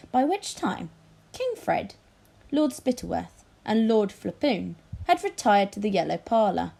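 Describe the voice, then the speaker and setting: A woman reading a children's story aloud as a teacher would, in an expressive narrating style. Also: medium pitch.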